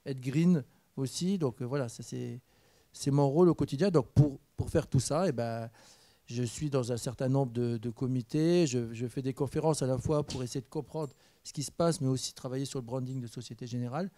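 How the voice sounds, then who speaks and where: A man from France speaking on a conference panel, relaxed and joking, with many fillers. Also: mid-range voice, moderate pace, dry recording. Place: university conference room. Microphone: handheld mic.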